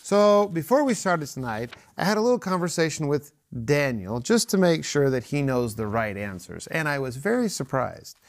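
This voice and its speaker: A man's voice: fairly high pitch, big pitch swings, moderate pace, hardly any pauses. A man hosting a studio Bible study show, welcoming guests, leading prayer and affirming others with frequent amens. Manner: warm, affirming, says amen often.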